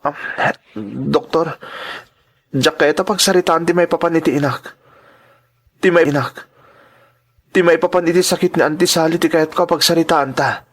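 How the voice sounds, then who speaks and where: Actor as Bari, high-voiced distressed young Ilocano man. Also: fairly even intonation, moderate pace, pauses often, natural voice. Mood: insistent. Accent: Filipino, Ilocano. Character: Bari, distressed young student searching for answers. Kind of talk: radio drama acting.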